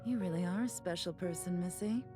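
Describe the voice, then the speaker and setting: An actress playing a friendly, cheerful shopkeeper in a game. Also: female voice, lowish voice, fairly quick, over music, natural voice. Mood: cheerful, kind. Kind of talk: game voice acting.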